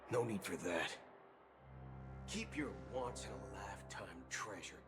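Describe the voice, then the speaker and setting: A man's voice: lowish voice, wildly swooping pitch, measured pace, music in the background, full character voice. A man playing a brash, swaggering galaxy ranger gunslinger in a video game, cocky and theatrical. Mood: cocky, scoffing. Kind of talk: video game character acting.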